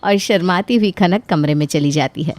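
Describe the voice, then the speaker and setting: A woman's voice: on the low side, moderate pace, darkish tone, dry recording. A woman giving scripted storytelling narration for a cartoon.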